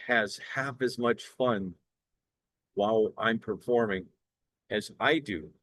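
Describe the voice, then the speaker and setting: An older man from Cleveland with a bass baritone voice, pitching his work as an event singer in an upbeat, earnest way. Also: a bit bright, no echo, poor audio. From Cleveland, Ohio, USA. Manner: upbeat, earnest salesman talk with small restarts. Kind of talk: promotional direct-to-camera pitch.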